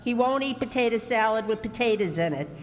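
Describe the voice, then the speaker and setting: A woman's voice: fairly high pitch, animated, a little fast, close to an everyday voice. Brief: a woman doing an upbeat, placating wife for a live radio comedy, hall reverb. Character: cheerful, patient older sister and wife. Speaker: amateur radio actor. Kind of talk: old-time radio comedy acting.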